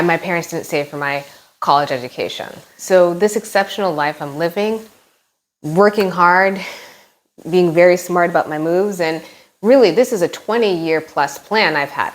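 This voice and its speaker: Frank American woman, lowish voice. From United States. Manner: frank and opinionated, with frequent "like" asides. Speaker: expat vlogger. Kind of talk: casual talk to camera.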